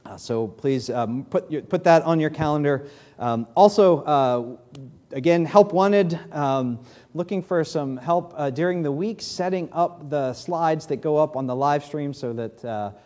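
A man in his thirties with a New England accent, a pastor giving church announcements and leading prayer at a podium mic, speaking haltingly with many fillers. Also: fairly high pitch, darkish tone. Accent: New England American. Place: large church sanctuary, in doors.

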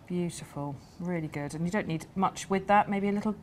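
A woman's voice: lowish voice, brisk, slight background noise. Enthusiastic woman cook explaining a dish. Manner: warm, enthusiastic, explaining as she cooks. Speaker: cook, guest chef. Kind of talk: cooking demonstration. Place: large greenhouse at a garden centre. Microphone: lapel mic.

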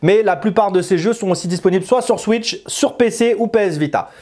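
A man in his thirties with a fairly high voice talks to camera as a game reviewer. His delivery is informal and chatty, and he repeats and corrects himself as he goes. He speaks into a lapel mic in a small indoor room. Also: fairly quick, mellow. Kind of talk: casual spoken review to camera.

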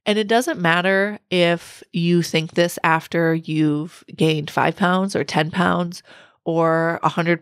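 A woman in her thirties hosting a solo podcast, her voice a little raspy from a cold, talking in an earnest, reflective coaching way. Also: on the low side, dry recording, clean studio sound. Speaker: podcast host.

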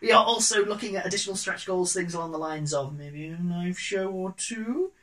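British guy on a sofa doing a chatty jokey pitch for a podcast. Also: fairly quick, sharp tone. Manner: jokey, informal, bantering. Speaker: podcast producer and creator. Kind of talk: casual two-person crowdfunding pitch. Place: small living room, on a sofa.

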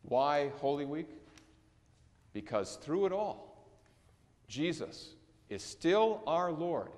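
A middle-aged male pastor preaching a sermon in a large church sanctuary, with measured delivery, slow emphatic stresses and falling endings. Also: fairly high pitch, sing-song, darkish tone. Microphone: distant camera recording in the sanctuary. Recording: long church reverb.

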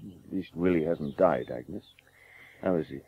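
An actor playing a gentle, consoling older gentleman in a radio drama. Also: a bass voice, a little slow, breathy edge, no echo, heavily acted. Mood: gently consoling. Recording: muffled, phone-like radio tape.

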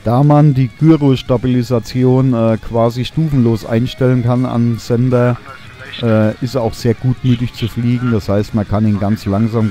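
A young man giving an enthusiastic voice-over review of a drone, relaxed and full of hesitation sounds. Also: lowish voice. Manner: relaxed, enthusiastic, many fillers.

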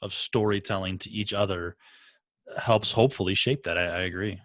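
A man's voice: sits low, steady tone, moderate pace, a bit bright, dry recording. A middle-aged man with a Midwestern accent from Michigan, hosting a podcast interview into a studio mic with headphones, friendly and often restarting his sentences. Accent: Midwestern American. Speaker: podcast host. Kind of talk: casual interview chat. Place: small home studio room. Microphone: headset with studio microphone.

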